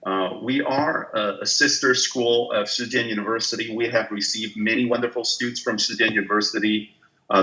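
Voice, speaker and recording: Hesitant man from Taiwan, bright voice. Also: lowish voice, poor audio. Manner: hesitant, many fillers and restarts. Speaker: business professor. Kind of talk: online guest lecture.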